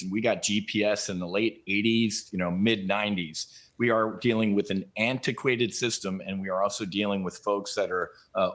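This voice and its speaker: A middle-aged American man giving blunt, colloquial commentary as a pilot guest in a live news interview. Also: on the low side.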